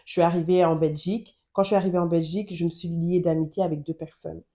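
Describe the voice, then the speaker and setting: Earnest woman sharing faith, mellow low voice. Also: little pitch movement, fast talker. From Germany. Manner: earnest, repeats phrases for emphasis. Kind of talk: personal testimony and Bible teaching.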